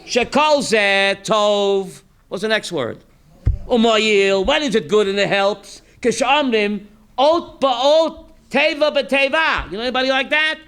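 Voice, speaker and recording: High, bright-voiced rabbi quizzing his class. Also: unhurried, clear, resonant tone. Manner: reads Hebrew text then translates, quizzing listeners; animated, emphatic rhetorical questions. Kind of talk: Torah class sermon.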